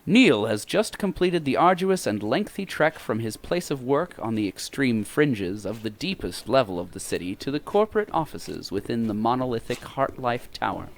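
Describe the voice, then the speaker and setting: Male actor as grandiose dystopian storyteller, animated and deliberate, over light background noise. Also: mid-range voice, lightly acted. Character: grandiose storyteller narrator of a satirical dystopian tale. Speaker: narrator. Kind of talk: scripted audio drama narration.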